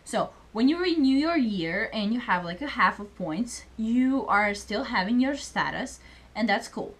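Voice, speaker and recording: A woman's voice: fairly high pitch, lively intonation, a little slow, fairly bright tone. Chatty young woman from Serbia. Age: young adult. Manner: chatty, many fillers, speaks English as a second language. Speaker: student vlogger. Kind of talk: casual vlog chat.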